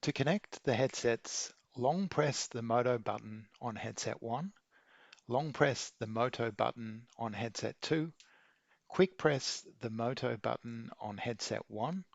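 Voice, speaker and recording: A man reading a scripted how-to narration in a measured, methodical way. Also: mid-range voice, creaky, no room sound, muffled recording. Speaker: video maker giving an installation tutorial.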